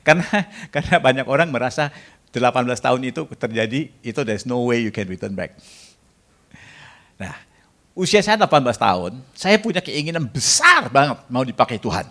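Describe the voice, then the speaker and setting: A man's voice: medium pitch, big pitch swings, a little fast, a bit bright. A man preaching a sermon in a jokey way, bantering with the congregation and mixing in English phrases. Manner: jokey, banters with the congregation and asks them to raise hands.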